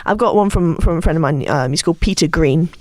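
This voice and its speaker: A woman, co-hosting a poetry podcast in a halting, hesitant way. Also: low voice, a little mellow, dry recording. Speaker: podcast co-host and poet. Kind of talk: casual podcast chat and poem reading.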